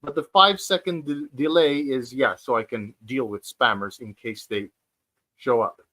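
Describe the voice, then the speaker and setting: A man of forty hosting a casual livestream chat from home, speaking hesitantly with many fillers and restarts and pausing to read out viewer comments. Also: mid-range voice, bright, forceful tone. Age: 40s. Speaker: livestream host. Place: at home, sitting on the floor.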